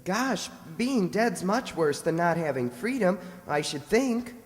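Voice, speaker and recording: With very lively intonation in a reverberant hall, a woman plays a little frontier boy. He is eager, naive and full of questions, with folksy grammar, in a radio drama. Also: lowish voice, measured pace. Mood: wondering. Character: curious, naive frontier little boy, boastful younger brother. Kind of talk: radio play acting.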